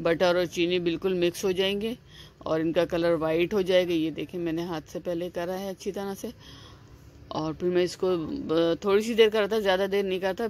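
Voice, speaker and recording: A woman hosting a home cooking video, explaining each step in a chatty, repetitive way with frequent fillers and restarts. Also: fairly low pitch. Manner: chatty, repeats herself, many "aa" fillers and restarts. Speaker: cooking channel host. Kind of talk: casual step-by-step cooking instructions.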